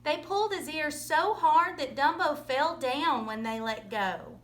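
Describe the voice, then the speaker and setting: A woman reading a children's story aloud in an expressive, dramatic storytelling manner. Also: high voice, measured pace. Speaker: storybook reader.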